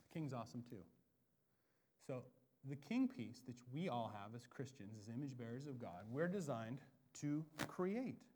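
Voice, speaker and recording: An American man from the Pacific Northwest preaching a sermon, loud and animated, dropping into quiet asides before rising to booming emphasis. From Bellingham, Washington, USA. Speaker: pastor. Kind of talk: expository sermon.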